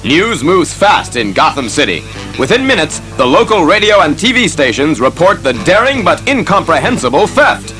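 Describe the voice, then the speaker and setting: A man's voice: fairly high pitch, sing-song, normal speed, bright, forceful tone, faint music behind. A man delivering dramatic scripted narration for a superhero audio drama.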